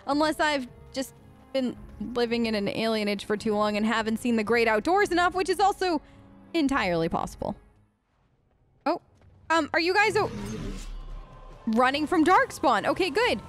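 High, lively woman role-playing through a game. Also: normal speed, pauses often, faint music behind. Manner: hesitant, conversational, questioning. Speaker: let's play host. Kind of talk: casual improvised role-play game commentary.

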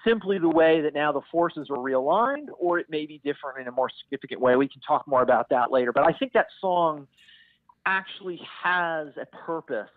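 Male podcast guest, fairly high voice, thoughtful and measured, heard over a dry, tinny phone-like line. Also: no room sound. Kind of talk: analytical discussion.

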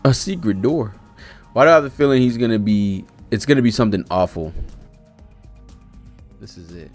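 A man's voice: fairly low pitch, moderate pace, faint music behind. A young American man giving casual, slangy, profane live commentary as he reads along. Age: young adult. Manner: animated, slangy, profane reactions. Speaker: streamer reacting to a manga. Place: home studio room with streaming setup. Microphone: desk microphone close by.

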